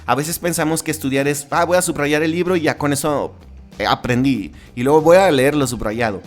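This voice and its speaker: A man's voice: on the high side, brisk, faint music behind. Chatty Mexican man hosting a podcast. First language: Spanish. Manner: conversational, with frequent restarts and tag questions. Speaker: podcast host. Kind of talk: casual solo podcast monologue.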